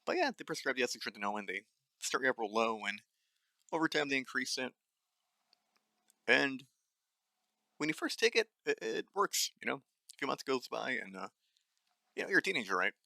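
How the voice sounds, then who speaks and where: A man's voice: mid-range voice, sing-song, moderate pace, gravelly, long pauses, pushed and bright, no echo. Young guy with a dry voice venting and cursing on his podcast, dead dry close mic, no room. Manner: angry, blunt, swears often; many fillers and restarts. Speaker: nutrition podcast host. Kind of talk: solo ranting monologue.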